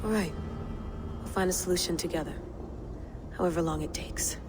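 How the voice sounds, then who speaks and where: A woman's voice: fairly low pitch, moderate pace, background noise, natural voice. An actress playing a determined, guilt-ridden young woman in a video game cutscene. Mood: somber resolve.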